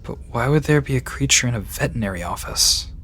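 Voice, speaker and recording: A man's voice: medium pitch, lively intonation, fast, a bit bright. A man playing a kind, slightly awkward vet tech in an audio drama, reassuring but hesitant. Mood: bewildered. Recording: faint background noise and ambience.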